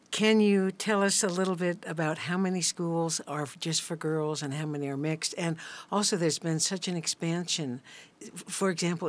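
A man interviewing a guest, asking prompting questions in a conversational way. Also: fairly high pitch.

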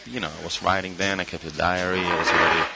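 A middle-aged man from Argentina, a guest artist in a radio interview, speaking thoughtfully with frequent pauses and fillers. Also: low-pitched, little pitch movement, vocal fry, very noisy. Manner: thoughtful, hesitant with many fillers.

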